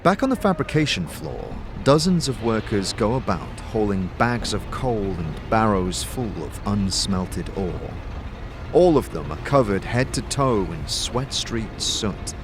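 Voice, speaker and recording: A man's voice: fairly low pitch, animated, a little slow, over music. A man giving dramatic scripted narration as the storyteller of a fantasy audio drama. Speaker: narrator and game master, also voices the protagonist.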